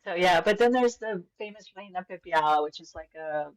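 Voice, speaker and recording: A middle-aged woman from Venezuela speaks as a podcast guest in a fairly low voice. She tells her stories in a relaxed, enthusiastic way, often restarting her sentences. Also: a little fast. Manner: warm, enthusiastic, with frequent restarts and fillers. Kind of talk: casual conversational storytelling.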